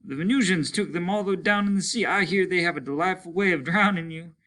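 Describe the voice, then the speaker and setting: Man acting Simmons, an excitable older spaceman, high-pitched. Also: fast. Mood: grim, wry. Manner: animated, breathless exclaiming.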